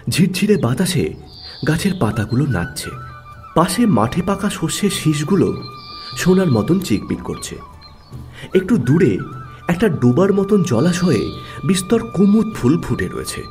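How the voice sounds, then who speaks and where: A man tells a literary story in a mellow, slightly high voice. His narration is dramatic and measured, with wide swings of intonation and well-placed pauses.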